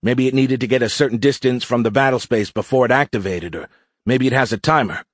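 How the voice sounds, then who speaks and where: A man voicing an excitable male pilot in an audiobook, giving eager, brisk military reports. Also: medium pitch, no echo, studio quality, voice pushed up, lightly acted. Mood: hopeful, alert.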